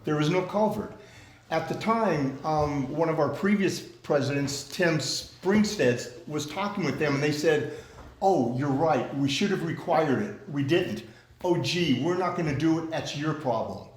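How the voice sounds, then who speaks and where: A man chairing a club meeting, his pitch sitting a touch high for a man. He talks loud and plain, and the room rings around him with a faint hiss of background noise. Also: lively intonation, measured pace, roomy sound, poor audio. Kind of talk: community meeting discussion.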